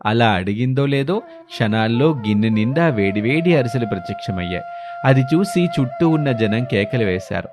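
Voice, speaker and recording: A man's voice: mid-range voice, animated, moderate pace, over music. A man narrating a scripted moral story as the storyteller.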